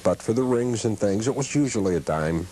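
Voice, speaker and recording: A man in his fifties, a guest collector, answering interview questions in a measured, thoughtful way with many filler pauses. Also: fairly low pitch, animated, mellow, breathy edge, poor audio.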